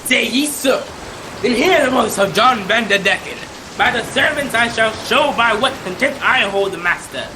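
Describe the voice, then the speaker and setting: Young man acting a high-voiced, theatrical sea captain. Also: expressive, moderate pace, background noise. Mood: raging defiance. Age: young adult. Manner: theatrical, archaic, declamatory. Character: defiant blaspheming sea captain, proud, raging, later pleading. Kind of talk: old-time radio drama acting.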